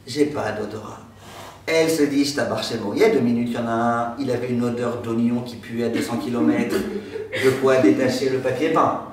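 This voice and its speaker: Animated rabbi teaching in an echoey room. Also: male voice, mid-range voice, a little fast, recorded from a distance, slight background noise. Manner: animated, gestures broadly, jokes with the class. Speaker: rabbi teaching a Torah class. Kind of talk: lively storytelling lesson. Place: classroom with a decorated board, indoors. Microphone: far camera.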